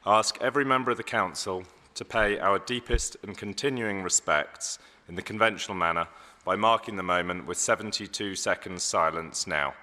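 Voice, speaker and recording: Hoarse man chairing in an echoing chamber. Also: deep voice, bright, forceful tone. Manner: measured and procedural, with ums and restarts. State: slightly hoarse. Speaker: mayor chairing a council meeting. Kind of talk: formal meeting chairing. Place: large council chamber. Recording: long echo of a large hall.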